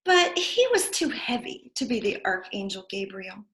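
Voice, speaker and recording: A woman reading a picture book aloud to young children with expressive storytelling. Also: medium pitch, normal speed. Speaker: preschool storyteller. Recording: echoey room.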